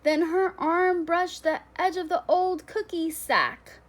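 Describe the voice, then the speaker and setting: A woman reading a children's picture book aloud in a deliberate, expressive storytelling manner. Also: extremely high pitch. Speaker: storybook reader.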